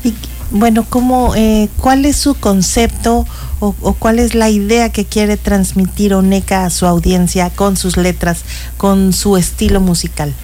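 A woman's voice: mid-range voice, fairly even intonation, measured pace, mellow, a little hiss or hum. A woman hosting an interview, chatty and finishing guests' sentences. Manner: chatty, prompting. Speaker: interview host. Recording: distant from the mic.

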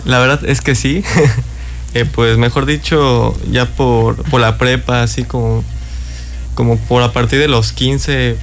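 Young man, gravelly voice, flat delivery, halting answers, faint music behind. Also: medium pitch, slight background noise. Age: 20s. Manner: hesitant, many restarts and fillers. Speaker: band member. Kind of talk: interview answers.

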